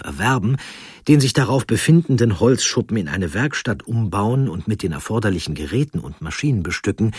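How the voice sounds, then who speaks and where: Male narrator for history feature, low husky voice, measured scripted delivery with dramatic pauses. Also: no echo, clean studio sound.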